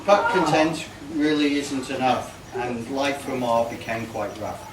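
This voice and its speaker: A man reading a comic rhyming poem aloud in a measured way, stumbling now and then. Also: medium pitch. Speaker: party guest reading a tribute poem. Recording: echoey, noisy room, distant microphone.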